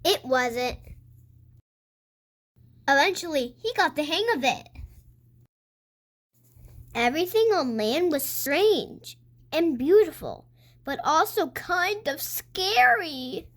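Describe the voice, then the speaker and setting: A child reading a picture book aloud for other kids, with expressive, emphatic storytelling. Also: high voice, takes it slowly, long pauses. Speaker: host of a read-aloud channel.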